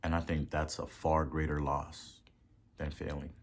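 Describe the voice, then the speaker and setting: A man with a Haitian accent hosting a podcast and giving a motivational talk, preachy, repeating words for emphasis and swelling to loud peaks. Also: extremely low pitch, flat delivery, measured pace, creaky. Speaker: podcast host.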